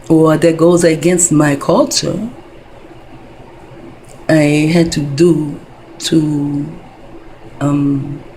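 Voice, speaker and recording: A woman's voice: low-pitched, creaky, mellow, slight background noise, low-quality recording. A woman in her fifties talking in a rambling casual monologue, wandering between thoughts, full of fillers and profanity. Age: 50s.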